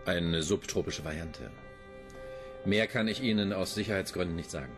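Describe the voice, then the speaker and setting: An actor playing a terse, guarded police inspector in a radio play. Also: male voice, sits low, normal speed, music in the background, natural voice.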